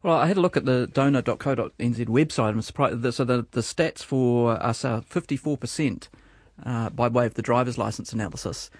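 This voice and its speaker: A man's voice: mid-range voice, brisk, no echo. A man on a radio panel, rambling through his points with many restarts and ums.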